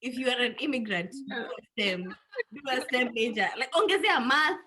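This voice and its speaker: A Kenyan woman with a Kenyan accent chatting casually on a careers panel, animated and repeating phrases for emphasis. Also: on the high side, moderate pace, crowd noise.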